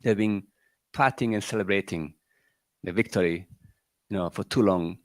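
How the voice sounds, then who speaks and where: A man's voice: sits low, normal speed, no room sound. A middle-aged man with a Croatian accent, an entrepreneur giving rambling closing remarks at a panel, firing off rhetorical questions and filling gaps with "you know".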